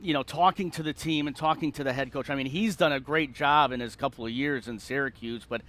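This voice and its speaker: A man hosting a sports show and interviewing a guest, phrasing his questions in a slightly halting way. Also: medium pitch, fairly bright tone.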